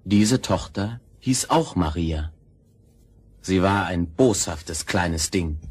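A male storyteller narrates a fairy tale in a lowish, husky voice. He takes his time, measured yet expressive in every phrase.

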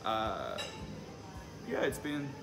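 A young man from Sweden, a squash player, giving a relaxed, slightly hesitant interview in a lounge. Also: on the low side, extremely slow, over music. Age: young adult. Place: indoor lounge with plants.

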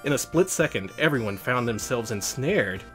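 A man's voice: medium pitch, lively intonation, moderate pace, fairly bright tone, faint music behind. A man narrating a children's storybook expressively and theatrically. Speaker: storybook reader on a livestream show.

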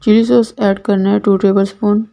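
A woman's voice: mid-range voice, little pitch movement, normal speed, creaky, warm tone. A woman with a Pakistani Urdu accent, hosting a cooking channel and explaining a recipe step by step in a plain, repetitive instructional way. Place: home kitchen.

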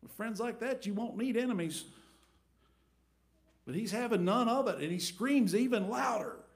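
A middle-aged man preaching a storytelling sermon from a church pulpit, swinging between big acted-out crowd voices and quiet asides. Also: high voice, animated, moderate pace. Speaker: pastor. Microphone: headset mic.

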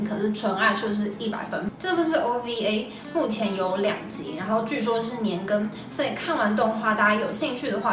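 A woman in her twenties with a Taiwanese Mandarin accent, hosting a scripted recommendation vlog. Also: fairly high pitch. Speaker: video host. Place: small indoor room. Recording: echoey room, slightly distant mic. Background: background music.

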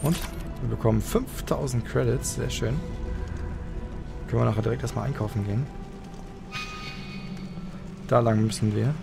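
A man's voice: medium pitch, moderate pace, creaky, pauses often. A man giving casual let's play commentary, half muttering to himself as he plays. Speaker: let's player. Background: game music.

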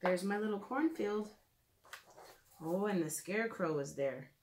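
Gentle woman teaching preschoolers to draw. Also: medium pitch, expressive, a little slow, background noise. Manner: gentle, simple wording, thinking aloud while drawing. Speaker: children's art and reading teacher. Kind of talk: simple narrated drawing lesson for preschoolers. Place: living room at home, indoors. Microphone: camera a few feet away.

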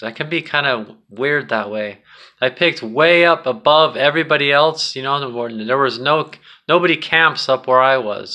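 A man's voice: medium pitch, pushed and bright. A middle-aged man telling a personal story in a casual, rambling, candid vlog style, recorded on a phone indoors at home. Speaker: vlogger. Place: small indoor room at home. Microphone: phone at arm's length.

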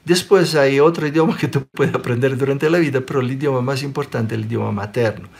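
A man in his forties from Sicily who speaks with an Italian accent, giving an animated spiritual talk in an online question-and-answer session and often checking in with listeners. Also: medium pitch, fast, creaky. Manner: animated, gestures a lot, checks in with listeners by asking "ok?". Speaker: spiritual teacher. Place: small room indoors. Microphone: webcam close up.

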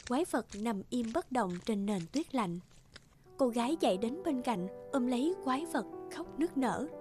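High-pitched woman narrating a fairy tale. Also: animated, normal speed, a little mellow. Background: music.

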